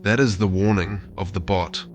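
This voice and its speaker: Low-voiced man as formal AI therapist bot. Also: steady tone, normal speed, a little hiss or hum, lightly acted. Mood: flat assurance. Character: AI chatbot posing as a male licensed therapist. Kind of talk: reading out chatbot messages.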